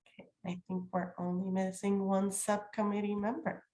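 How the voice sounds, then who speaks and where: A woman's voice: medium pitch, little pitch movement, moderate pace, mellow. A woman chairing an online committee meeting in a formal, welcoming role, slightly nasal as she gets over a cold. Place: remote video call.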